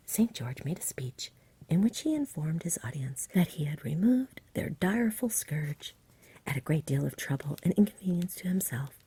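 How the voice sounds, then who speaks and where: A woman reading a bedtime story in a soft, whispery ASMR style, gentle and unhurried, close to the mic. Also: on the low side. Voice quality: whispery, breathy. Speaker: storybook reader.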